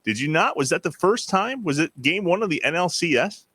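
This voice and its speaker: A man's voice: on the high side, sing-song, a little fast, pushed and bright, slightly rough, no echo. An American man hosting a podcast, putting questions to his guests in casual chat. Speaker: podcast host.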